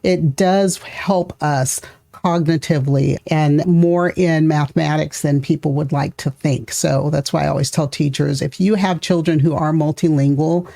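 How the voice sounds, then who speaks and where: A middle-aged woman hosting a podcast interview, talking in a thoughtful, measured way and laughing easily. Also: deep voice, steady tone, gravelly, warm tone. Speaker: podcast host. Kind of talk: conversational interview.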